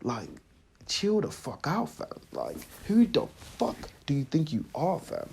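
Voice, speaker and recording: A young man from Congo, telling a story in a slangy, animated rant and often asking "you know what I'm trying to say". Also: fairly high pitch, a little slow, vocal fry, slightly rough. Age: young adult. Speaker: vlogger.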